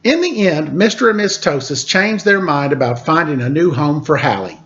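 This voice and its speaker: A man with a fairly high voice reads a children's story aloud as host. His pitch moves around a lot as he tells it dramatically. The sound is slightly dull and lo-fi. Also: lively intonation, normal speed, fairly bright tone. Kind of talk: expressive children's picture-book read-aloud with casual asides.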